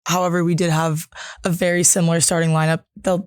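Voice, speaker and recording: A young woman who plays college volleyball, chatting in a relaxed way as co-host of a sports talk show. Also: lowish voice, quick pace, clean tone, dry recording, clean studio sound. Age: young adult.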